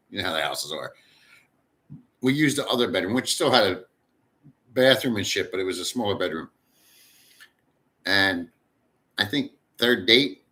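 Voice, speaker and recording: A man's voice: on the low side, bright, forceful tone. Crude, foul-mouthed male livestreamer. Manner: crude, profane and rambling. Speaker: livestream host reading chat. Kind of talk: casual livestream chat.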